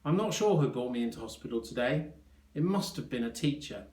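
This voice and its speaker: A man's voice: medium pitch, lively intonation, fairly quick, a little mellow, reverberant, natural voice. A man voicing a young, plain-spoken, slightly weary schoolboy patient in a children's story, giving short, simple answers. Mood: matter-of-fact. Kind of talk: children's storybook character reading.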